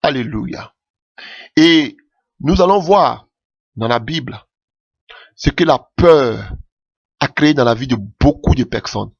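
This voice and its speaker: A man's voice: expressive, takes it slowly, creaky, long pauses, no echo, lo-fi sound. A man preaching a sermon with passion, calling out alleluia often as his voice rises. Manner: impassioned, rising pitch with frequent alleluias.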